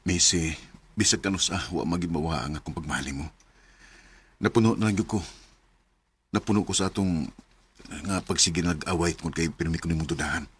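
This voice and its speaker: A man's voice: deep voice, a little fast, pauses often, slightly rough. An actor playing a frustrated but tender young man in love in a radio drama, with a Cebuano Filipino accent. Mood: earnest, tender.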